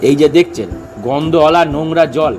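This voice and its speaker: An actor playing a pedantic, excitable amateur scientist who lectures insistently in a comedy audio drama. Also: male voice, on the high side, brisk, natural voice. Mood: eager. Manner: didactic, animated, insistent. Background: background music.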